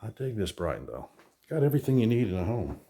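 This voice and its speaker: A real estate agent with a hoarse, worn voice, chatting casually as he walks through an empty new house. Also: on the low side, very animated, quick pace, warm tone, slight background noise. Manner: chatty, rambling, self-deprecating. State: hoarse, losing his voice. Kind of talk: casual home tour vlog. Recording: echoey empty rooms, faint smoke alarm chirps.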